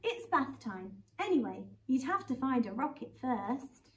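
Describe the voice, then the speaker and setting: A woman's voice: high-pitched, big pitch swings, a little slow, slight background noise. A woman doing a fond mother bear. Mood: fond scolding.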